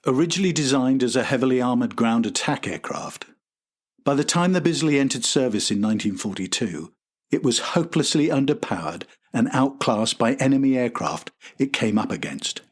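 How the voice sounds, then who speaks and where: A man's voice: medium pitch, expressive, a little fast, husky. A man hosting a podcast, reading a scripted historical documentary narration.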